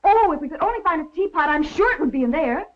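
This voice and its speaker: An actress playing an eager, scatterbrained young amateur sleuth, chattering chirpily in a 1930s film comedy. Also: female voice, sits high, lively intonation, quick pace. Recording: muffled 1930s film soundtrack.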